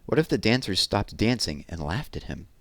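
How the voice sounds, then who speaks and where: Male children's read-aloud host, lower register, expressive and deliberate, conversational asides, dry close sound. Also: no echo. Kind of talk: storytelling read-aloud of poems and picture books.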